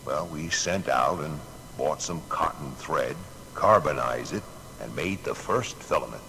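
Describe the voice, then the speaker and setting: An actor playing a proud male inventor recounting his discoveries in a deliberate, declamatory, gravelly voice for an audio biography. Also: a bass voice, sharp tone, a little hiss or hum, full character voice, rough recording. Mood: earnest reminiscing. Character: Thomas Edison, American inventor recounting his discoveries with pride. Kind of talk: dramatised first-person narration.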